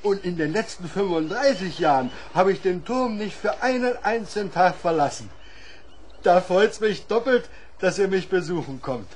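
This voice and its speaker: Old lighthouse keeper guy, high lively voice, hearty and folksy, some noise behind, kids radio play. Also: normal speed. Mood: hearty, welcoming. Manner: hearty, chuckling, folksy exclamations. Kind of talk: children's radio play acting.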